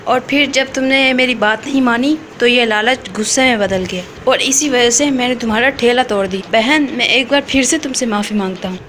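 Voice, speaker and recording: A woman voicing a hot-tempered male crow ice-cream seller in a cartoon, gruff and boastful. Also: fairly high pitch, normal speed, few pauses, a bit bright, slight background noise, close to an everyday voice. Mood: remorseful. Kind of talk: cartoon voice acting.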